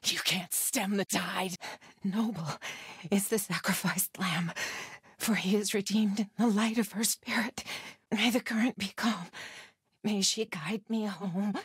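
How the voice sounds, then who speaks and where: Devout female cultist warrior, very husky and breathy, slow solemn murmur, video game lines. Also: medium pitch, steady tone, no room sound, studio quality.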